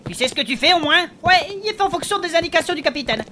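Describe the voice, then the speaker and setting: A woman's voice: very high-pitched, expressive, racing, sharp tone, slight background noise, heavily acted. A woman playing a grumbling, worried innkeeper turned reluctant adventurer in a comic fantasy audio drama, blunt and sarcastic.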